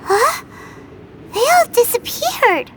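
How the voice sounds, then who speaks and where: A woman voicing a small, excitable companion sprite in a video game. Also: very high voice, slow, heavy background noise, full character voice. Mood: curious, blunt.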